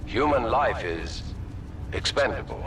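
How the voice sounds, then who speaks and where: An actor playing a cold, ruthless military leader in a video game, delivering a measured, pompous speech with emphatic pauses. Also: male voice, mid-range voice, animated, noisy background. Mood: cold, declamatory. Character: cold, ruthless male military-corporate leader, grandiose villain. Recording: echoey hall, distant mic, music behind.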